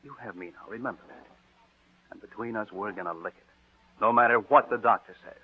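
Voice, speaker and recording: An actor playing a scheming young nephew who talks earnestly and stammers in a 1940s radio drama. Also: male voice, lowish voice, fairly quick, slightly rough. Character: scheming young male nephew feigning devotion. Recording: tinny old radio broadcast.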